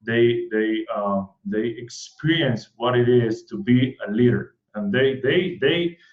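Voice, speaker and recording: A man from Puerto Rico with a Puerto Rican Spanish accent, Spanish his first language, hosting an online event in an eager, excited way, often repeating words and restarting. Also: mid-range voice, slow pace, reverberant, dull audio. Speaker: host.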